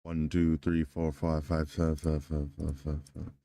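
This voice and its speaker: A man's voice: very deep voice, flat delivery, a little slow, creaky, warm tone. A man casually chatting on a gaming livestream, joking to himself and testing his microphone. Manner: joking, talks to himself, tests his mic. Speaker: streamer.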